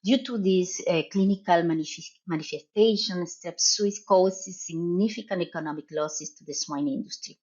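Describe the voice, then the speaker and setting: A middle-aged woman from Argentina with a Spanish accent, a veterinary professor explaining her research in a podcast interview, measured and pausing often. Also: on the low side, darkish tone, husky, dull audio. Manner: measured, explanatory, with frequent "uh" pauses.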